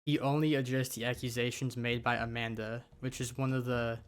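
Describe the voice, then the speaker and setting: A man's voice: mid-range voice, level tone, brisk, smooth. A young man who is a little sick, giving rambling, casual commentary over his gameplay. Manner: rambling, offhand, with many fillers. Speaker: gaming YouTuber.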